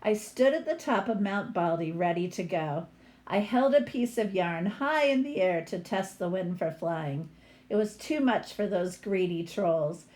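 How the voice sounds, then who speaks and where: A woman's voice: medium pitch, lively intonation, a little mellow, slight background noise. A woman reading a picture book aloud as a teacher would, with explanatory asides to young listeners. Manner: unhurried, explanatory.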